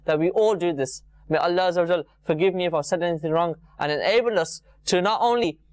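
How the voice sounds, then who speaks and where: A man's voice: high voice, fast talker, no echo. A man giving an informal, earnest religious talk to viewers, repeating phrases for emphasis. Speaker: religious programme presenter.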